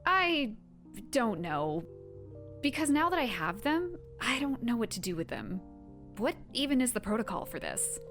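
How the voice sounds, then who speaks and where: Casting a woman for audio drama: young superpowered heroine-or-villain, guarded, emotionally open underneath, lively intonation. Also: a little high, normal speed, faint music behind. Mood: hesitant.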